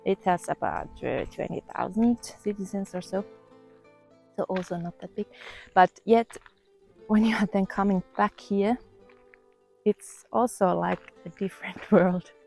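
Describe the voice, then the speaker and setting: A woman, casually vlogging outdoors and often pausing to search for words. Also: medium pitch, vocal fry, faint music behind. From Finland. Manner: relaxed, thoughtful, pauses to search for words. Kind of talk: casual walk-and-talk vlog. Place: outdoors in the countryside.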